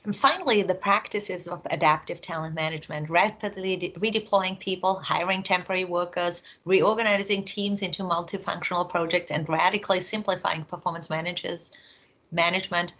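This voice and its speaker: Husky-voiced woman with an Austrian accent. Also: fairly low pitch, fairly even intonation, a little fast, a bit bright. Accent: Austrian German. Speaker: research vice president. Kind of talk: prepared talk to camera. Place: home office.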